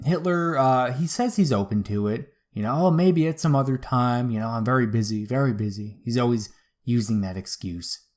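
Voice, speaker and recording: A man's voice: mid-range voice, normal speed, a little mellow. A man hosting a history show, retelling events in an informal, slangy, conversational way. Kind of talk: casual conversational history storytelling.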